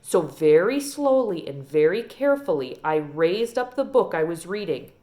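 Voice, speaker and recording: A woman's voice: fairly low pitch, big pitch swings, moderate pace, darkish tone. A woman voicing a genteel, wistful old small-town librarian lady from a storybook, telling tales in a folksy, emphatic way. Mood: dramatic, proud.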